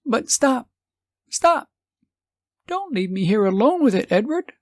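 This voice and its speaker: A man's voice: very high voice, sing-song, unhurried, no echo, studio quality, pitched above the performer's own voice, full character voice. Man voicing a fretful, swooping old wife. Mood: alarmed. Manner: sighing, fretful worrying. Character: anxious, poor old wife, timid and fretful.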